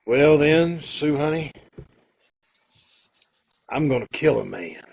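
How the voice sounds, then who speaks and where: A man's voice: fairly high pitch, animated, slow pace, vocal fry, loud music behind the voice, full character voice. A man playing a brooding, self-loathing drifter in an old time radio drama, with blunt, slangy tough-guy talk. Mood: grim, final.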